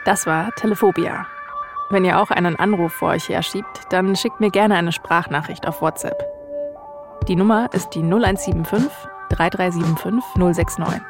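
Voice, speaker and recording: A woman's voice: lowish voice, moderate pace, music in the background. A woman, hosting a podcast and narrating from a script. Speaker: podcast host.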